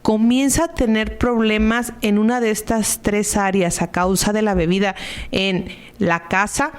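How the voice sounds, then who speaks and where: A woman's voice: medium pitch, steady tone, a little slow, clear, resonant tone. A woman giving a conversational, explanatory radio talk as a support group member, teaching by asking and answering her own rhetorical questions.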